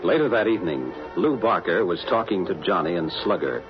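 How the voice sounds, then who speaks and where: A man's voice: low voice, sing-song, fairly quick. A man narrating a 1940s radio drama as scripted announcer. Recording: dull 1940s radio recording, music.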